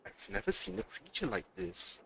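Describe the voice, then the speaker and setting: A man's voice: mid-range voice, sing-song, moderate pace, vocal fry, background noise. A man giving casual let's play commentary as he livestreams a video game. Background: faint game music.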